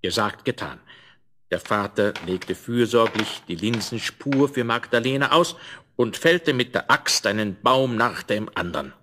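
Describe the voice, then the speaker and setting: A man's voice: on the low side, lively intonation, a little slow, bright, forceful tone. A man narrating a scripted fairy tale in the manner of a 1970s children's audio play. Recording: noisy vinyl rip with clicks.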